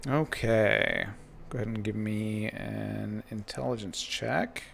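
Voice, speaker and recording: A man narrating a tabletop game as game master, improvising in halting phrases with pauses mid-sentence. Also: fairly low pitch, steady tone, gravelly, a bit bright, slight background noise.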